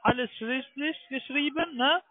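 High-pitched foreign man on a phone line. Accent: foreign accent in German. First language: not German. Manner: halting, ungrammatical, often ending on "ne?". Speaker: phone scam caller posing as broker. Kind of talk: improvised sales phone call. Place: telephone call. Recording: muffled narrow phone line.

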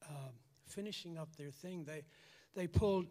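Raspy aussie guy telling his testimony, kinda high voice, stops and restarts a lot, big church reverb. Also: warm tone. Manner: halting, repeats words, clears throat. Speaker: church member giving a testimony. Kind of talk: personal testimony in a church service. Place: church hall. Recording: long church hall reverb.

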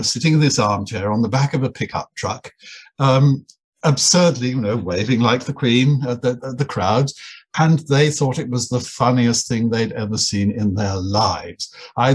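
Husky older Englishman telling wry stories. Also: medium pitch. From England. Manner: wry, self-deprecating, anecdotal with many restarts. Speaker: guest, anthropologist and writer. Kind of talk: relaxed storytelling interview.

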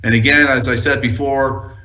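A man's voice: mid-range voice, fairly even intonation, moderate pace, sharp tone. Man presenting formally, muffled and echoey. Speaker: senior town official presenting to council.

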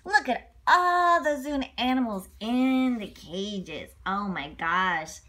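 A woman reads a children's story aloud in a fairly high, bright voice. Her delivery is very animated, swooping through the sentences and breaking off for exclamations and asides to her young listeners. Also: takes it slowly.

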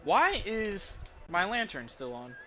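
A man's voice: high voice, wildly swooping pitch, slow pace, bright, forceful tone. A young man chatting casually and irreverently as he live-streams a video game. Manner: irreverent, swearing. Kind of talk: casual live stream chat over gameplay. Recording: game music behind.

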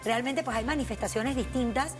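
A woman's voice: a little high, fairly quick, over music. A young woman, a TV host who puts long, poised questions to a guest. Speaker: morning show host. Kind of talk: TV interview questions. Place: TV studio.

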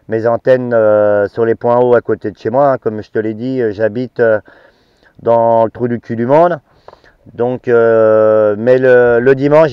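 A man's voice: mid-range voice, clear, resonant tone, no echo. A middle-aged man chatting casually as an amateur radio operator, hesitant, in short choppy phrases with little tags. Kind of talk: casual radio contact chat. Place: inside a parked car. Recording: dull, muffled, dry sound.

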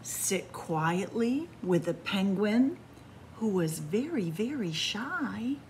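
A woman reading a picture book aloud expressively, with deliberate phrasing and dramatic pauses. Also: mid-range voice, very animated, slight background noise. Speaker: librarian reading picture books aloud to children. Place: indoors in a library room with bookshelves.

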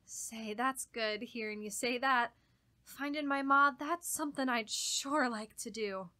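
High, bright, naive daughter acted by a woman. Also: unhurried. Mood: earnest, hopeful. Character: naive, trusting grown daughter longing for her missing mother. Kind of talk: radio horror drama dialogue.

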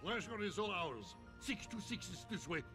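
An actor playing a big, boastful alien scientist in a video game, with a Russian accent and grandiose, stilted broken English. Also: male voice, sits high, animated, normal speed. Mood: scheming, proud. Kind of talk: video game cutscene acting. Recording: game audio under loud music. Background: loud game music.

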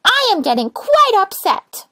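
A woman's voice: extremely high pitch, very animated, a little slow, pushed and bright, no room sound, studio quality, voice pushed up, full character voice. A woman voicing a small, grumpy female rosebud in a children's storybook, indignant and emphatic. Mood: upset.

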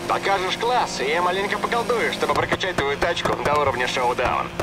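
A man's voice: fairly high pitch, animated, a little fast, loud music behind the voice, natural voice. A man acting a friendly, chatty street racing organizer in a dubbed video game, guiding a rookie. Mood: nostalgic, chatty. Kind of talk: video game dialogue, Russian dub. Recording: loud car engine noise behind voice.

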